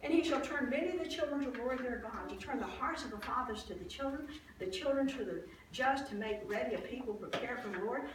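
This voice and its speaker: In a very echoey space, some way from the mic, a woman acts out an older priest's wife from a Bible story. She is devout, excitable and full of awe. She tells her tale in a chatty, halting, expressive way, breaking into exclamations. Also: a little high, close to an everyday voice. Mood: astonished, awed. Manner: conversational, expressive, halting with restarts and acted-out exclamations. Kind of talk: first-person dramatized Bible monologue, storytelling. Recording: roomy echo, distant mic, faint noise.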